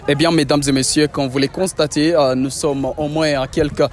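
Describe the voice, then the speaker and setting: Halting male interviewer over music. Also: fairly high pitch, fairly even intonation. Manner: hesitant, many filler pauses. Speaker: interviewer and channel host. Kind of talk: on-location interview. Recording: music clearly behind.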